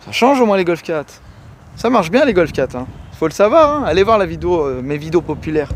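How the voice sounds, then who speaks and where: A man's voice: a little high, sing-song, quick pace. A man hosting a car video, chatting and commenting casually in slangy, informal banter. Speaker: host of a car video channel. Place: in and around cars on the road. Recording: loud car and road noise. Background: engine and traffic noise.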